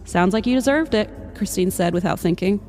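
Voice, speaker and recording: A woman's voice: medium pitch, a little fast, a little mellow, faint music behind. Woman in her thirties, mid-range voice, theatrical self-deprecating storyteller, podcast among friends.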